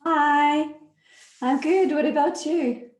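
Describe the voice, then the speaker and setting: A woman from France with a French accent, chatting as an interview guest, unhurried and full of fillers. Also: sits high. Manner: unhurried, many fillers and restarts. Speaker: guest, nonprofit founder. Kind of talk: casual interview chat. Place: home in Zurich. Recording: echoey room.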